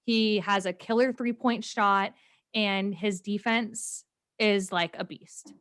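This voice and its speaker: A woman's voice: mid-range voice, fairly even intonation, slow pace, fairly bright tone. A woman of twenty-nine co-hosting a sports podcast, chatting casually in a playful, upbeat manner.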